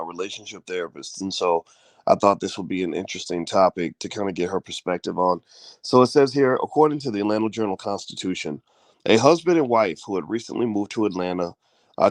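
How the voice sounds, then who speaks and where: Groggy deep-voiced male host. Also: dry recording. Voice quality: groggy morning voice. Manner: loose and chatty, many fillers and restarts. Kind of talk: casual live-stream chat, reading a news story. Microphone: close mic at home, in bed.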